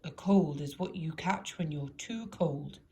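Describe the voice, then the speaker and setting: A woman's voice: low voice, unhurried, a little mellow, slight background noise. A woman voicing a wise old male storybook wizard who speaks in an emphatic, commanding manner. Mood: knowing, dismissive. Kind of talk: storybook character voice.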